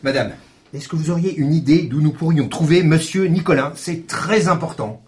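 An actor playing a tense, no-nonsense police detective in naturalistic audio fiction, terse and commanding. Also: male voice, on the high side, moderate pace, a little mellow, natural voice. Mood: businesslike. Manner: terse, commanding, casual swearing. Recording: roomy echo, music behind.

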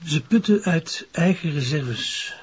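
Very husky, defensive Flemish police adjutant. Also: male voice, a little high, lively intonation, slow pace, muffled recording, exaggerated voice. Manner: hesitant, formal, defensive. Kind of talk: radio crime drama acting.

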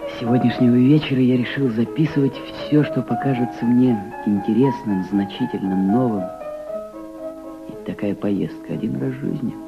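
An actor playing an earnest, respectful young diplomatic aide in a radio play. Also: male voice, mid-range voice, moderate pace, vocal fry, close to an everyday voice. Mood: reflective, solemn. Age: young adult. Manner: reflective diary narration, respectful replies. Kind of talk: Soviet radio play acting. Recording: dull old radio recording. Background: piano music.

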